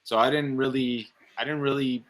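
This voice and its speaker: A man with a medium-pitched, bright-edged voice. He speaks as a guest in a loose, casual chat. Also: fairly even intonation, normal speed. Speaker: podcast guest reviewer.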